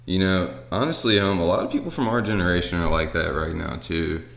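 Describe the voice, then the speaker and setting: Young male co-host, easygoing casual chat, deep low voice. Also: a little fast, vocal fry, fairly bright tone. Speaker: podcast co-host.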